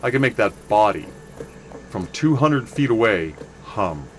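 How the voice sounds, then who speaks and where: A man with a fairly low, loud voice chats his way through a game stream, wandering off track and correcting himself mid-thought. A steady noise hum sits clearly behind him. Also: a little slow. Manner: rambling, self-correcting, chatty asides to viewers. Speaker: livestream game player. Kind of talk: casual gameplay commentary.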